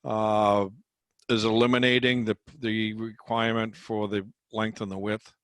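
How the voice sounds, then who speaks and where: A man in an informal committee call discussion, repeating words haltingly as he talks. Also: lowish voice, level tone, fairly bright tone, smooth, dry recording. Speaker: committee member. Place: conference call.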